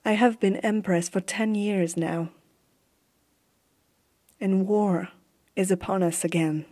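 Woman as fantasy warrior empress, ASMR roleplay, stern and zealous, mellow breathy voice, hushed dramatic delivery. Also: mid-range voice, steady tone, slow. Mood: grave, bitter.

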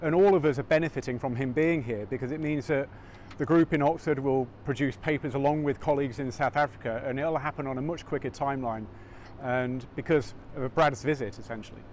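A man speaking in a steady, explanatory way in an interview, recorded outdoors in a city on a lapel mic. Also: mid-range voice, brisk, slight background noise. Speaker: professor. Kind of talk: casual interview.

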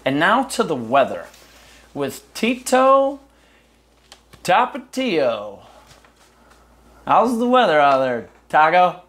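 A male anchor in his thirties reads parody news in a dry, deadpan newsreader manner. His pitch swings widely as he leans into each punchline. He is recorded close on a lapel mic in a small studio. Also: takes it slowly, pauses often. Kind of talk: mock news broadcast.

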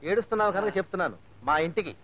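Scolding comic bus passenger, very high and rapid, on a dull, dry film track. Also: male voice, expressive, a crowd in the background. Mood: indignant. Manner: scolding, snappish. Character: touchy, indignant male bus passenger. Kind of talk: film comedy acting. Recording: dull old film soundtrack.

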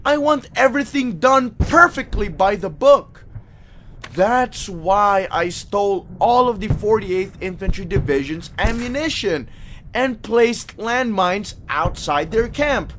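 A man's voice: high-pitched, expressive, slow, a bit bright, slight background noise, full character voice. A man playing a petty, self-righteous, whiny army private in an audio drama, fussy and indignant. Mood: indignant, smug. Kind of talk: radio drama acting.

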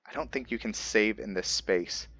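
A man giving casual gameplay commentary as host, thinking aloud in a halting way. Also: lowish voice, fairly bright tone, no echo, highs cut off. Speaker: gaming video host.

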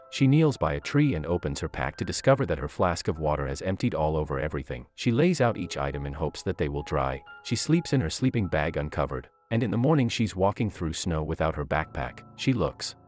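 A man narrating a movie recap in a scripted, steady storytelling manner. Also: very deep voice.

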